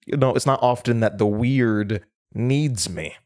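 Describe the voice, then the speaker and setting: A man's voice: mid-range voice, measured pace, a little mellow, lightly acted. A young man in a horror audio drama playing a weary, defiant college student haunted by his past, candid and dryly resigned. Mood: weary defiance. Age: young adult.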